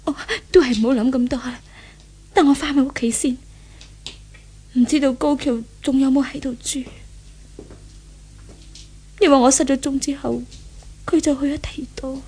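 A woman's voice: sits high, fairly even intonation, moderate pace, pauses often, a little mellow. A woman playing an earnest, repentant married lady of a well-off house in a 1970s Hong Kong Cantonese radio drama, in a theatrical manner. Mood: pensive resolved.

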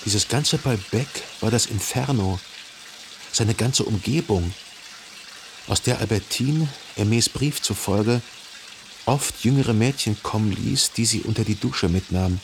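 Low-voiced brooding gentleman, music behind. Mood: anguished brooding. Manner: introspective, measured, formal phrasing. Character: brooding, jealous, grieving gentleman narrator obsessed with a dead lover. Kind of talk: literary radio drama monologue.

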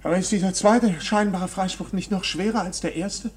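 A man's voice: high voice, fairly even intonation, normal speed, husky. A man acting in a German radio drama as an anxious, polite defendant, hesitant and questioning, easily agitated. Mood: anxious, eager. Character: anxious, polite male defendant trapped in a baffling trial.

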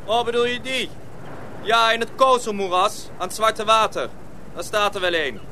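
An actor playing a wary village farmer in a radio drama, cautioning in a hesitant way. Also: male voice, sits high, normal speed, bright, forceful tone, a little hiss or hum, exaggerated voice. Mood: wary, warning.

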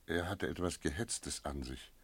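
A wary village innkeeper in a radio play. His voice is very low and sits in a dry, close sound. He tells his tale in a measured, brooding manner. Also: breathy edge, no echo, heavily acted. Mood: brooding, reflective. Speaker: narrator and character in a radio play. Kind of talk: German radio drama acting and narration.

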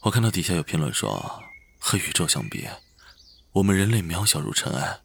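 An actor playing an affectionate, teasing young man in love with his boyfriend, in an intimate, breathy romantic audio drama style. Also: low voice, sing-song, fairly quick, a bit bright, full character voice. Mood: tender, earnest. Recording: music behind the voice.

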